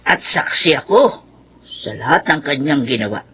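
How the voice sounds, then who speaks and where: A woman's voice: sits low, animated, normal speed, a bit bright, slight background noise. An actress playing a devout, trusting elderly grandmother who speaks in an earnest, reassuring way in a radio drama.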